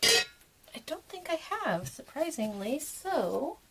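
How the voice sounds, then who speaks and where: A woman with a hoarse, froggy voice from being sick, casually walking through a soap-making demonstration with many fillers. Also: high voice, slow pace, heavy background noise. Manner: relaxed, rambling, with many fillers. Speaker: soap maker and channel host.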